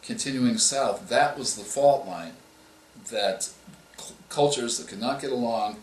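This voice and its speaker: A male author answering interview questions, explaining in a measured way and often restarting his phrases. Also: medium pitch, slight background noise. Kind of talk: interview answers on writing craft. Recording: echoey room with faint ambience.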